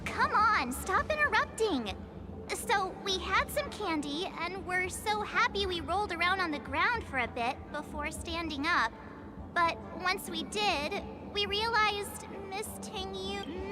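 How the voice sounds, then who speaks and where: A woman's voice: very high-pitched, lively intonation, takes it slowly, exaggerated voice. A woman voicing a cheerful, chirpy young girl adventurer in a video game. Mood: chatty. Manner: chirpy, giggly, sheepish. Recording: game dialogue over loud music.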